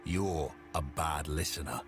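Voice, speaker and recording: An actor playing a surly, menacing guard in a fantasy game, with a taunting, sardonic way of talking. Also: male voice, a bass voice, steady tone, a little slow, music in the background. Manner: taunting, sardonic, casual threats. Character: surly, menacing male camp guard itching for a fight.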